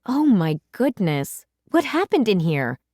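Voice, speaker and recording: A woman voicing an exasperated, scolding mother in a children's storybook, with emphatic, drawn-out words. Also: mid-range voice, sing-song, a little mellow, no echo, clean studio sound. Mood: shocked, scolding. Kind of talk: children's storybook read-aloud.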